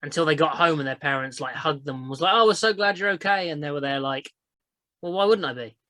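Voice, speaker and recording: A woman's voice: low-pitched, fast, a bit bright, no room sound. A young woman, hosting a crude casual chat podcast, blunt and giggly as she rambles. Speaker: podcast host.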